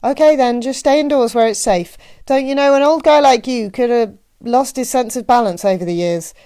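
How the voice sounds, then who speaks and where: A woman's voice: a little high, moderate pace, dark tone, no room sound, studio quality, pitched above the performer's own voice, close to an everyday voice. A woman voicing a matronly, teasing small-town postmistress with a Midwestern accent and a chatty, folksy manner in an audiobook. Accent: Midwestern American. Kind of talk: audiobook character voice.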